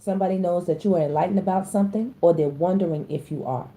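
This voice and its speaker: A hoarse woman giving a casual tarot reading, chatting to her viewers and often stopping to clear her throat. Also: fairly low pitch, fast talker, dark tone. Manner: chatty, addresses listeners as y'all, stops to clear her throat. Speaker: tarot reader.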